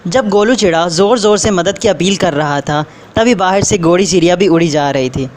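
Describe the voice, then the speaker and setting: A man's voice: high-pitched, moderate pace. A man narrating a scripted moral story for children. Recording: faint background noise.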